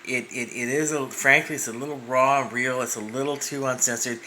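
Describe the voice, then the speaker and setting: Rambling bright-voiced man in his fifties. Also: mid-range voice, steady tone, a little fast, slight background noise. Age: 50s. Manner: rambling, full of restarts and fillers. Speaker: vlogger. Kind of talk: personal storytelling monologue.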